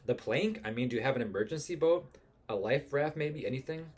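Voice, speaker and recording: Man reading as a husky, pleading trapped passenger. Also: medium pitch, fast talker, darkish tone, pitched above the performer's own voice, natural voice. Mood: anxious, bewildered. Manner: anxious, pleading questions. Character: confused, pleading male passenger desperate to escape a ship. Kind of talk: amateur musical script read-through.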